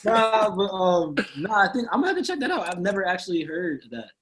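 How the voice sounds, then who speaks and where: Young man in his twenties, slightly high, bright and very lively, hosting friends on a call. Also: fast. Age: 20s. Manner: animated, laughs often, quizzes his friends. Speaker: host. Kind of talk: casual group chat on a video call.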